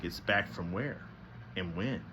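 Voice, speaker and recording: A man's voice: sits low, slow pace, sharp tone, slight background noise, full character voice. A man reading the part of a troubled teenage girl from a storybook, brooding, resentful and emphatic.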